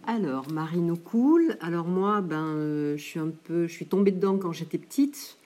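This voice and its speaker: Hesitant woman with a mellow voice. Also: lowish voice, animated, moderate pace. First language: English. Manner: hesitant, many fillers. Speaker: English teacher. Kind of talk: casual spoken interview answer.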